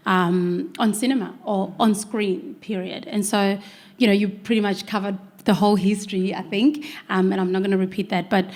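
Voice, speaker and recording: A woman panelist speaks in an even, flat tone. She is earnest and hesitant and keeps restarting her sentences. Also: medium pitch, moderate pace. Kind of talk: panel discussion.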